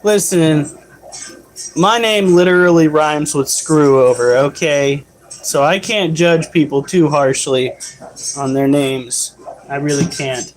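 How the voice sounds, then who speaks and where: A man's voice: a little high, takes it slowly, slight background noise, rough recording. A man hosting a casual livestream, chatting and explaining how to care for his pets while answering chat. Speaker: livestream host and pet keeper.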